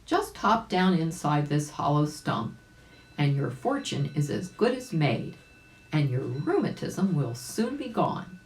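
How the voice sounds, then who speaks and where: A sly, boastful storybook bear, played by a woman reader, coaxing its prey in a slow, low-pitched voice. The delivery swings up and down, and the room rings a little around it. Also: sing-song, echoey room, exaggerated voice. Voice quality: harsh when revealed.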